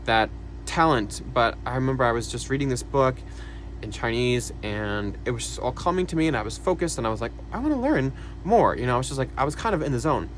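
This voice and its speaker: A young man talking casually to camera about his own life, soft-spoken and hesitant, with many fillers and quiet sighs. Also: medium pitch, expressive, fast talker, slight background noise, low-quality recording. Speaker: vlogger. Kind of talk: casual personal talk to camera.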